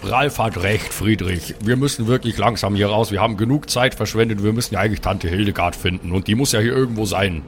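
Man playing a low-voiced, polite adventurer. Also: fast talker, hardly any pauses, natural voice. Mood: earnest, grateful. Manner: polite, hesitant, wordy. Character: polite, earnest male adventurer, hesitant negotiator.

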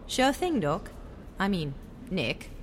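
A woman's voice: on the low side, big pitch swings, takes it slowly, slight background noise. A woman playing a sceptical, down-to-earth adventurer's companion in a radio adventure drama, plain-spoken and cautious. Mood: wry, doubtful. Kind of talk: old-time radio adventure acting.

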